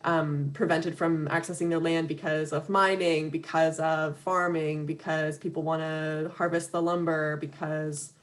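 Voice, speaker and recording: Woman, slightly low voice, earnest and conversational, lots of ums, a little hoarse, video call. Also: little pitch movement, measured pace, hardly any pauses. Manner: earnest, many fillers and restarts, laughs lightly between points. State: slightly losing her voice. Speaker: webinar speaker for an advocacy organization. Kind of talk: conversational talk.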